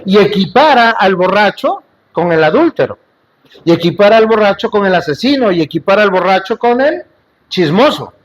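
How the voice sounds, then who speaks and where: A man's voice: sits high, sing-song, normal speed. A man preaching a sermon to a congregation in a church, in an emphatic, repetitive style with rhetorical questions to the listeners and light joking asides. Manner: jokes and asides in between.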